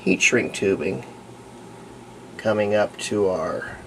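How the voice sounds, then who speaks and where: A man with a gravelly, creaky voice explains a hobby repair in casual tutorial talk, pausing mid-sentence as he demonstrates. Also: on the low side, a little hiss or hum, low-quality recording.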